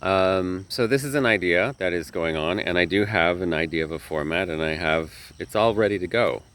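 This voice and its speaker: Deep-voiced man, slightly nasal, rambling. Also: normal speed, fairly bright tone. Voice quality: nasal from a sinus infection. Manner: rambling, self-correcting, earnest. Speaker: yoga teacher. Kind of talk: casual talk to camera.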